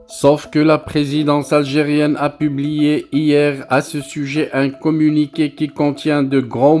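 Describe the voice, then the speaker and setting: A man delivers scripted political news commentary as a narrator, with deliberate, emphatic phrasing. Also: fairly high pitch, darkish tone.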